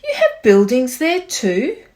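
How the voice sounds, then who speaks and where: A woman's voice: high voice, huge pitch swings, slow, darkish tone, full character voice. A woman voicing a kind, protective male elephant with an earnest, pleading manner, for a storybook. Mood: eager.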